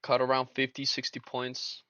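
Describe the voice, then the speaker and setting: A man's voice: medium pitch, completely flat delivery, normal speed, sharp tone, smooth, no echo, highs cut off. A young man hosting a casual trading live stream, laid-back and slangy, talking to his chat. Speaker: livestream trader and host.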